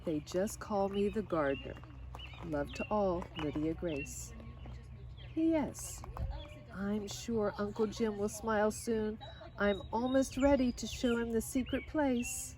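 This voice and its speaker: A woman reading a children's picture book aloud in an expressive way, now and then speaking directly to her listeners. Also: medium pitch. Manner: expressive, pausing for short asides to listeners. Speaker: storybook reader.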